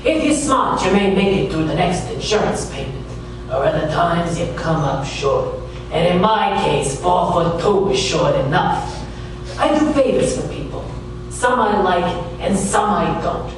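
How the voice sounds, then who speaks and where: A man playing a wisecracking, world-weary freelance gumshoe, narrating deadpan in live radio theater. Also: high-pitched, a little slow, noisy background. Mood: wry. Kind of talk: hardboiled radio detective narration. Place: live stage in a pavilion. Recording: echoey hall from far off. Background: music.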